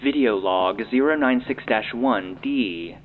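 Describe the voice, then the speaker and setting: An actor playing a formal, impersonal database announcer reading log headings in an audio drama. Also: male voice, medium pitch, measured pace, close to an everyday voice. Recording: tinny phone-like band with hiss.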